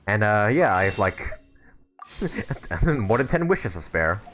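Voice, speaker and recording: A man's voice: fairly low pitch, sing-song, slow pace, fairly bright tone, soft background music. A young man giving casual live stream commentary on a game, informal and thinking aloud as he plays.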